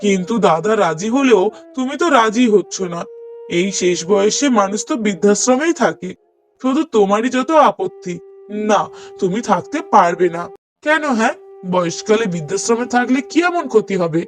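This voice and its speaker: A man's voice: high voice, animated, normal speed. A callous grown son from a cartoon moral tale, voiced by a man, speaks in reproaches and excuses. He sounds dismissive and henpecked, with music playing behind him. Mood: reproachful. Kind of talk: cartoon moral story voice acting.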